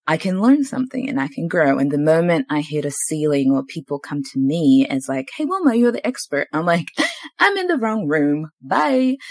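A woman in her twenties hosts a podcast in a low, grounded voice. She tells stories in a chatty, animated way and laughs easily. The sound is close and dry, with no room echo. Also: vocal fry. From Zimbabwe and New Zealand. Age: 20s. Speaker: podcast host. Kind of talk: casual conversation.

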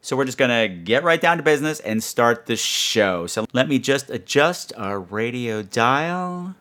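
A man's voice: medium pitch, animated, measured pace, a bit bright, slight background noise. A man hosting a podcast in a casual, comedic storytelling monologue, rambling with sarcastic asides and some swearing. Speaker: podcast host.

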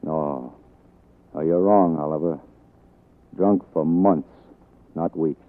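Rueful washed-up film star, old radio sound. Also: male voice, very deep voice, slow pace. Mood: bitter. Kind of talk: 1940s radio drama acting. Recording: muffled, band-limited 1940s radio recording.

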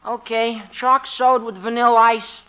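A man's voice: extremely high pitch, level tone, normal speed, pushed and bright. A man playing an officious, chirpy young soda-counter server with clipped patter in a 1950s radio comedy. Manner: clipped order-taking patter. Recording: muffled, very noisy old radio, audience behind.

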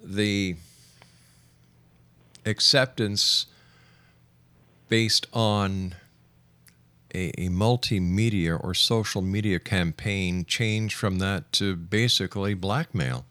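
Man, fairly deep voice, dry studio sound, radio interviewer, probing, skeptical. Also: slow pace, pauses often, pushed and bright, dry recording. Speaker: radio show host and interviewer. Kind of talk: radio interview, challenging questions.

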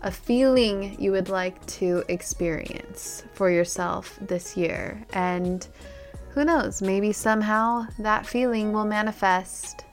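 A woman's voice: mid-range voice, slow pace, soft background music. A woman hosting a podcast, giving a reflective self-help monologue and speaking to the listener in an encouraging way. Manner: addresses listener directly, encouraging. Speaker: podcast host.

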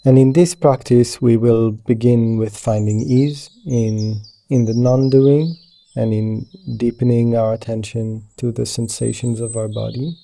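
Male meditation guide, very mellow and dark with creaky low edges, gentle and soothing delivery. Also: steady tone, measured pace. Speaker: meditation teacher guiding yoga nidra.

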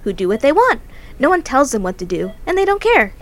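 A woman's voice: high-pitched, very animated, fairly quick. A woman playing a blunt, defiant young girl. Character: blunt, world-weary child patient, a girl. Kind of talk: audio drama acting.

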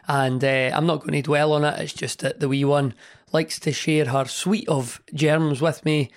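A man hosting a hobby podcast, chatting in a rambling, enthusiastic way with a hoarse, partly lost voice from a cold. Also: a little high, level tone, normal speed. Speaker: podcast host. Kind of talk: casual hobby podcast monologue.

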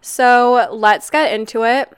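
Raspy, nasal young Canadian woman podcasting. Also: a little high, steady tone, slow. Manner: chatty, candid, swears casually. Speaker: podcast host. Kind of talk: casual solo podcast chat.

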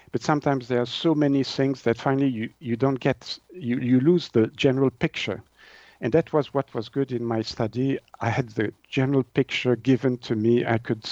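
A man's voice: medium pitch, a little mellow, no room sound, highs cut off. A man in his sixties from Switzerland, a native French speaker with a Swiss French accent, answering interview questions conversationally and hesitantly, searching for words. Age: 60s. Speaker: naturopath and author being interviewed.

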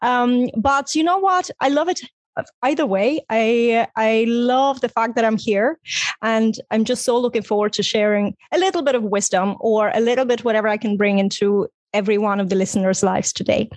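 A woman's voice: fairly high pitch, normal speed, a little mellow, dry recording. A middle-aged woman from Estonia, a native Russian speaker, talking conversationally as an interview guest. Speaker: guest, online visibility strategist and coach.

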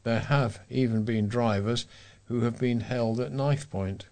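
A man's voice: mid-range voice, a little slow, a little mellow. A British man reading local news items aloud as a volunteer newsreader.

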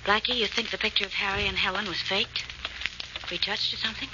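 Through heavy noise on a dull old radio recording comes an actress as a detective's loyal girlfriend, with a medium-pitched woman's voice. She is inquisitive and lightly teasing, in the style of forties radio drama. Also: fairly even intonation, quick pace, close to an everyday voice. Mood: doubtful, curious. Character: detective's loyal, playful, questioning female sweetheart. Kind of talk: 1940s radio drama acting.

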